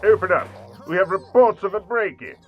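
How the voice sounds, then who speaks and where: A man playing a gruff, officious city watchman in an audio drama. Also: sits high, lively intonation, brisk, muffled recording. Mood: officious, shocked.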